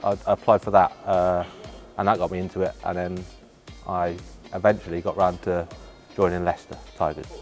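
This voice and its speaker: A man's voice: deep voice, level tone, a little fast. A man talks casually as an interviewed athlete. There is faint music behind him. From Horncastle, Lincolnshire, England. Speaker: athlete, wheelchair rugby player.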